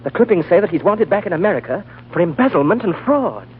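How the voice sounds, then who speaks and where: A man's voice: sits high, very animated, fast. An actor playing an agitated young man bringing urgent news. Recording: tinny old radio broadcast with hiss.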